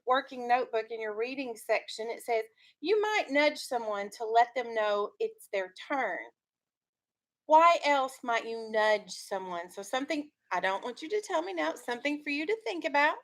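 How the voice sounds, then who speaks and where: A woman teaching young schoolchildren in a classroom, leading a reading discussion with instructive questions. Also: high voice. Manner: instructive, questioning, checking understanding.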